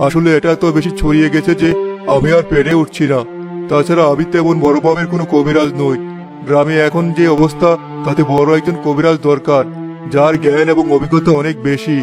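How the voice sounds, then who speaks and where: A man's voice: a little high, level tone, brisk, over music, close to an everyday voice. A man voicing a humble, anxious village healer in a cartoon, explaining deferentially. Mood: anxious, pleading. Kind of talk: Bengali cartoon voice acting.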